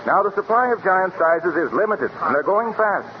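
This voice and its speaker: Clear noise and a muffled band mark this old radio recording of a male announcer. His voice is high for a man, polished and emphatic, in the 1930s sponsor-pitch style. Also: lively intonation, normal speed. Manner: polished, emphatic salesman delivery.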